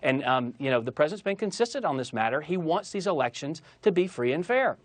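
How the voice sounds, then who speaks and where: A man's voice: a little high, lively intonation, fast, no echo. A man speaking as a political spokesman in a TV interview, arguing emphatically and combatively with repeated phrases for stress. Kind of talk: argumentative TV interview talking points.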